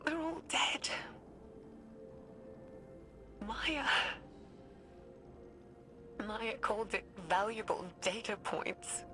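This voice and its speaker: An actress playing an anguished, guilt-ridden woman scientist dictating research logs in a measured, hesitant, breathy way, as in a dramatic video game. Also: on the high side, animated, pushed and bright, heavily acted. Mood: grief-stricken. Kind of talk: dramatic video game voice acting, recorded log. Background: music.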